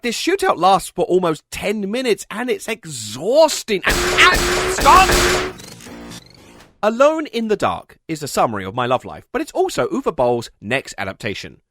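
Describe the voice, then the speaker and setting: A man narrates a scripted, comedic film critique with wildly animated intonation. He is sarcastic and exasperated, hammering his points and swearing freely. The recording is dry and close. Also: fairly high pitch, no room sound. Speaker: video essayist, film reviewer.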